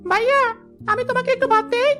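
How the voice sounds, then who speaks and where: Cartoon kid role: actress as sweet innocent younger brother, tiny very high voice, music behind. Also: female voice, fairly even intonation, fast talker. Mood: concerned. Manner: innocent, solicitous. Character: caring little village boy, innocent younger brother. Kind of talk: children's fairy-tale cartoon dubbing. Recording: music under the voice.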